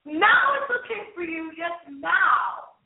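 A woman's voice: very high voice, lively intonation, takes it slowly. A woman hosting a paranormal radio show, rambling casually and chattily with a theatrical, dramatic delivery. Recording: thin, phone-like radio call-in audio.

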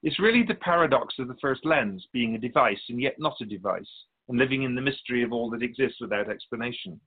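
Man playing a wise spirit, lively and husky. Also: mid-range voice, fast talker, phone-quality sound. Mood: earnest, respectful. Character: Keeper of Souls' Purpose, wise otherworldly spirit, patient and curious. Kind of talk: radio drama dialogue.

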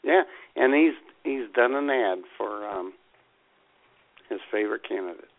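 A man's voice: on the low side, expressive, unhurried. A man co-hosting a radio show, bantering with mock disbelief. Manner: incredulous, joking. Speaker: co-host. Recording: tinny phone-like audio.

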